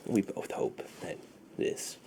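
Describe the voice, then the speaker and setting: A man speaking softly and breathily, often whispering, in an unhurried, reassuring ASMR roleplay as a friendly dealer. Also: slight background noise. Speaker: ASMR performer. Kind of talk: soft-spoken ASMR roleplay.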